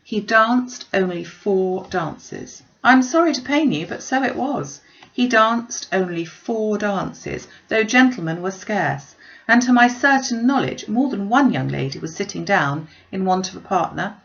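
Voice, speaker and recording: A woman reading a storybook as a witty young gentlewoman, playful and teasing, with an arch, mock-serious manner. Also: medium pitch, expressive, measured pace, highs cut off.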